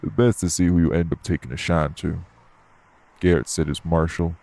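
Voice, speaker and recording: A man playing a gruff, blunt, unbothered club worker with streetwise slangy talk in an audio roleplay. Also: sits low, steady tone, moderate pace, noisy background. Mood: casual, teasing. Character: gruff, blunt, unbothered tough guy working at a nightclub. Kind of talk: audio roleplay acting addressed to the listener.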